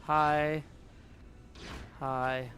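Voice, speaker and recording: A man's voice: fairly high pitch, flat delivery, drawn-out words, a bit bright, soft background music. A man streaming a video game, chatting casually, muttering to himself and reading game text aloud.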